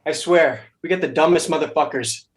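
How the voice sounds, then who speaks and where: A man's voice: a little high, fairly quick, close to an everyday voice. A man playing an abrasive, self-important warehouse supervisor with an aggressive, contemptuous manner in a table read.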